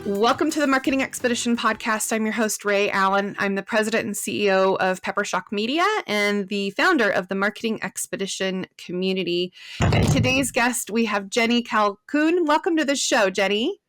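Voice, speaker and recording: A mid-pitched woman hosts a podcast, explaining in a lively, talky way and often checking in with a quick "right?". Her voice is close and dry, with no room sound. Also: no echo, soft background music. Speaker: podcast host. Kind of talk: podcast teaching and interviewing.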